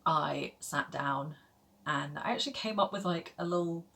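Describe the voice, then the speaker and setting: A woman in her thirties talks casually to her camera about her reading. Her voice sits low for a woman, and she rambles along with plenty of "like" and "um" and small self-deprecating laughs. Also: normal speed, vocal fry, fairly bright tone. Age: 30s. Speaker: book vlogger.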